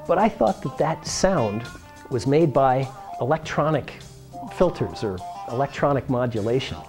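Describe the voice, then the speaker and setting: A man's voice: medium pitch, animated, measured pace, over music. A middle-aged American man presenting a science how-to demonstration, explaining step by step on a lapel microphone. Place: school workshop or lab room, indoors.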